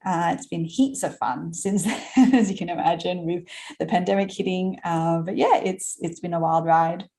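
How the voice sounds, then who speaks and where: An Australian woman in her thirties talks casually as a podcast guest, her voice sitting a little low. She laughs easily and fills her sentences with ums and restarts. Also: normal speed, dark tone. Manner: chatty, laughs often, many fillers and restarts. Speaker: guest entrepreneur. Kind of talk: casual conversational interview.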